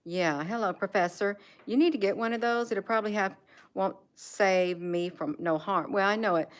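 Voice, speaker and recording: A woman's voice: medium pitch, normal speed. An American woman chatting casually and in a rambling way at home, repeating words and phrases for emphasis. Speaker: vlogger. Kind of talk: casual rambling chat, showing gadgets. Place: indoors at home.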